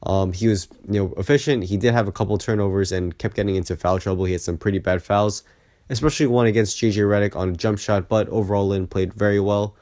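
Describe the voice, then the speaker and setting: A man's voice: on the low side, steady tone, fast, warm tone, dry recording, clean studio sound. A man with a sick, partly lost voice giving a casual, opinionated basketball recap as a vlog host. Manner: conversational, opinionated, frequent "you know". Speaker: sports video creator and host.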